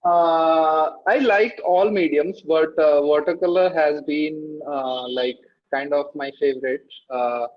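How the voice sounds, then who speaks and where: A man with an Indian accent speaks casually as an interview guest, feeling his way through phrases with many pauses. His voice sits a little high, and the recording is somewhat muffled. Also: steady tone, slight background noise. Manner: halting, hesitant delivery with many pauses.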